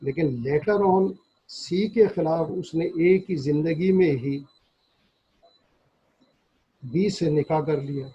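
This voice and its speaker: A man lecturing in a deliberate, explanatory way, repeating and rephrasing key terms as he goes. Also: on the high side, dark tone, husky, dull audio. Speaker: law lecturer. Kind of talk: lecture reading statutes in English and explaining them in Urdu.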